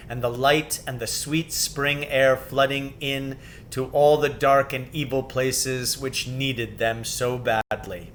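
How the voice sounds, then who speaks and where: A man's voice: medium pitch, a bit bright, a little hiss or hum. A man narrating a children's storybook as a scripted read-aloud. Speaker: storybook reader.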